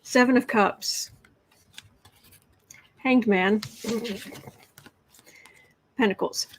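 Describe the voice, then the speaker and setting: A woman with a fairly high voice hosts a casual tarot livestream, flipping through cards and answering her chat. She talks in a chatty, sarcastic way, restarts her sentences and stops now and then to clear her throat. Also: extremely slow, a little hiss or hum, poor audio. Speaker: host of a political tarot livestream.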